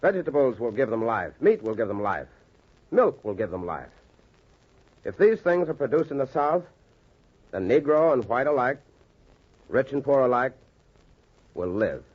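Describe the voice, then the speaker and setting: An actor playing an earnest, confident doctor in 1940s radio style, with an emphatic, oratorical manner and a husky voice. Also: male voice, medium pitch, big pitch swings, moderate pace, pauses often. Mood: impassioned. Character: earnest, confident male doctor addressing skeptical colleagues. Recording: dull, lo-fi 1940s radio recording.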